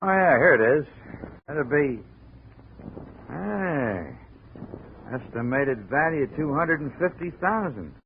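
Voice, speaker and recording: An actor playing a slangy, unimpressed American police desk officer in a 1950s radio drama, blunt and folksy. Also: male voice, fairly high pitch, expressive, slow pace, pauses often. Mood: gruff, unimpressed. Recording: muffled, hissy 1950s radio recording.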